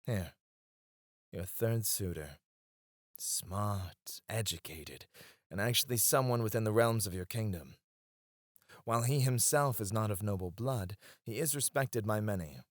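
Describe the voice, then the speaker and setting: A man voice acting a scheming, devoted royal advisor in an ASMR roleplay, soft-spoken and teasing, dropping to a hush when he addresses his queen. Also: lowish voice, a little slow, dry recording, studio quality, close to an everyday voice. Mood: teasing, confiding. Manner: teasing and confiding. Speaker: voice actor.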